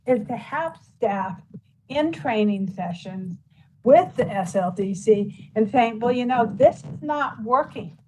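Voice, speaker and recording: A woman's voice: fairly high pitch, a little mellow, a little hiss or hum, poor audio. Rambling woman commissioner at a meeting. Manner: rambling, heavy use of "you know, like". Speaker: county commissioner. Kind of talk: public meeting discussion. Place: meeting room.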